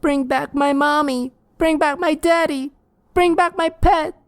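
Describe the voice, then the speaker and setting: A man's voice: very high voice, fairly even intonation, unhurried, no echo, clean studio sound, pitched above the performer's own voice. A man voicing a crowd of ghostly dead children, screaming shrilly and desperately.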